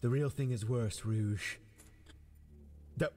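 A man voicing a brooding, insolent hedgehog in a comedic game fandub, blunt and deadpan. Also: medium pitch, takes it slowly, natural voice. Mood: grave. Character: brooding, haughty, insolent male anthropomorphic hedgehog.

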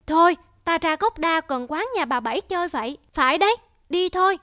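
A woman's voice: extremely high pitch, expressive, brisk, bright, forceful tone, dry recording, clean studio sound, heavily acted. A woman voicing a playful, polite little village boy in a cartoon. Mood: sulky. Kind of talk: cartoon character acting. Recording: music under the voice.

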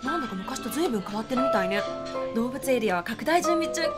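An actress plays a bossy young woman in a comedic radio drama. Her high voice moves quickly and pushily, with a teasing edge, over a prominent music bed. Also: expressive, lightly acted. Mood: eager, businesslike. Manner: brisk, teasing, pushy. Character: bossy, feisty young woman, a keen writer. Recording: music bed under dialogue.

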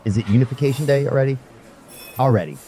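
A man's voice: mid-range voice, quick pace, mellow, faint music behind, natural voice. A man playing a weary, grumbling space hauler in a science fiction audio drama, laconic and resigned.

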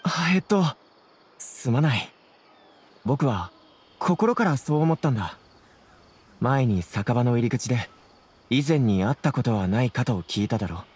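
A man's voice: medium pitch, animated, unhurried, pauses often, darkish tone, natural voice. Actor as earnest young male scholar, noisy ambience. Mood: earnest, apologetic. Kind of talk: Japanese game voice acting. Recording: clear background noise, insect ambience.

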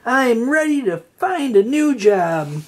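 A storybook reader voicing an excitable, naive, easily upset cartoon sea sponge. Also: mid-range voice, slow pace, a little hiss or hum, pitched above the performer's own voice. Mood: eager. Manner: stammering, wailing, eager.

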